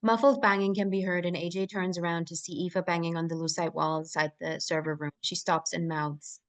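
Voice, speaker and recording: A woman's voice: on the low side, little pitch movement, a little fast. A woman narrating scripted screenplay action lines as the reader at a table read. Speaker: stage-direction reader.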